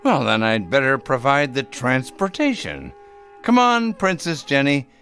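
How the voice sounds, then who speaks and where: A man's voice: medium pitch, very animated, fairly bright tone, soft background music. An actor playing Ben, a kindly, hesitant old man who dotes on a little girl, in a radio drama. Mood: fond. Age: older. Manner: slow, hesitant, fond.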